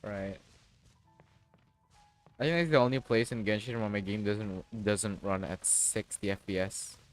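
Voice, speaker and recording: A man's voice: fairly low pitch, unhurried, faint music behind. A man reacting casually on a game livestream, making informal asides as he plays.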